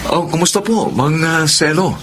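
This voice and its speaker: A man's voice: a little high, moderate pace, background noise, lightly acted. A man playing a grateful, courteous doctor in a Tagalog radio drama, speaking politely and reassuringly. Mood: warm, reassuring.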